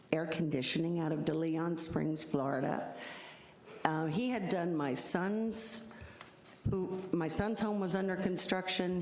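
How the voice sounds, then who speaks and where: A middle-aged woman, an insurance agent, earnestly explaining her case at a city code hearing in a loud voice. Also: lowish voice, slight background noise. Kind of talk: public hearing testimony. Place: city hall hearing room. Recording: echoey hall from council mic.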